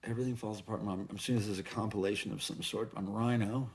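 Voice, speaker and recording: A man's voice: lowish voice, fairly even intonation, fast talker. A middle-aged man chatting casually as a vlog host, musing aloud in a rambling way with folksy, playful slang. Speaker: video channel host. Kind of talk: casual haul vlog chat.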